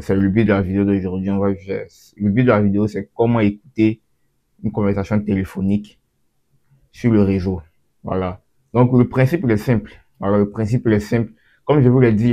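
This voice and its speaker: A man's voice: fairly low pitch, lo-fi sound. A man giving a casual, off-the-cuff tutorial, often repeating and restarting his phrases as he explains. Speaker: tutorial host.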